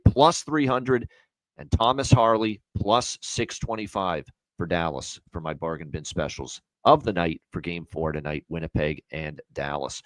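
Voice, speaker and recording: A man's voice: deep voice, a bit bright, no echo, rough recording. A man hosting a sports betting show, going over picks and plugging the show in a chatty, upbeat way.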